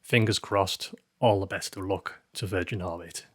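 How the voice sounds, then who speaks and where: A man's voice: sits low, steady tone, moderate pace, a bit bright, slightly rough, no echo, studio quality. A man hosting a news update show, narrating from a script with an emphatic, dramatic storytelling delivery. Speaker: host of a space news show.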